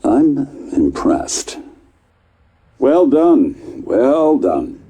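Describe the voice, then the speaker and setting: Video game scientist: dark, husky timbre, lively pitch, chatty, patronising encouragement to a test subject. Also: male voice, drawn-out words, exaggerated voice. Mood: breezy, encouraging. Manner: patronising, encouraging, hesitant asides. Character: chatty, distracted male scientist running tests on a subject. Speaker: test supervisor. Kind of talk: game voice acting.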